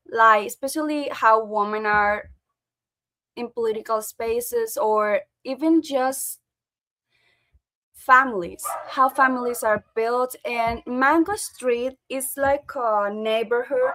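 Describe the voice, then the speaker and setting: A woman's voice: on the high side, slow pace, bright, forceful tone. A woman hosting an online book club over a video call. English is not her first language, and she talks hesitantly with many fillers and restarts. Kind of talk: casual online meeting chat.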